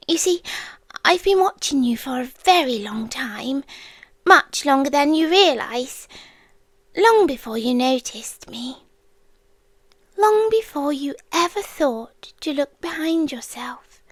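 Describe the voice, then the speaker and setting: A British woman playing an otherworldly, possessive stalker girlfriend in an ASMR roleplay, soft and breathy, often whispering, intimate and eerily tender. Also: sits high, no echo, full character voice. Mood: creepy, possessive. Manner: slow, deliberate, intimate, teasing.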